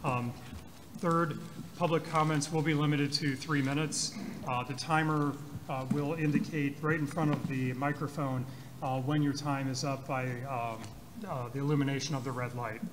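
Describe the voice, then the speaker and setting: A man's voice: medium pitch, steady tone, normal speed. A man chairing a formal city board meeting, speaking hesitantly with many ums and uhs. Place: city council chamber. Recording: noisy public meeting audio.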